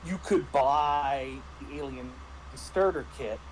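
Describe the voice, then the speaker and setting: A man in a casual review discussion, talking haltingly with repeated words and false starts. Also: mid-range voice, slight background noise, poor audio. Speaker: panelist reviewing a tabletop roleplaying game.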